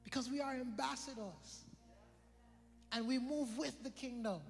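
A man's voice: very high-pitched, measured pace, vocal fry, in a large hall, faint music behind. A young man from the British Virgin Islands with an island accent, preaching a conversational sermon that repeats phrases and calls out listeners by name.

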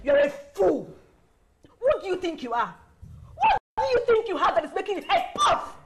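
An actress playing an excitable, indignant young woman in a film drama, emphatic and theatrical, repeating herself for effect. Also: very high-pitched, normal speed, heavy background noise. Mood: indignant, scornful.

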